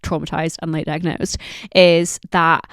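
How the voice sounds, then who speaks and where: A woman hosting her own podcast, chatting casually and rambling with lots of fillers, her voice croaky from a coming cold. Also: low voice, little pitch movement, a little slow, a bit bright, no echo, studio quality. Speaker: podcast host. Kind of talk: casual solo podcast chat.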